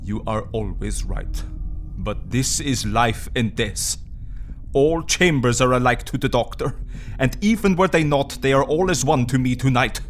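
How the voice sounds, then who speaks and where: An actor playing a grave older Dutch professor and vampire hunter, speaking in an emphatic, formal, commanding way in an audio drama. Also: male voice, mid-range voice, expressive, measured pace, slight background noise, close to an everyday voice. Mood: urgent, commanding.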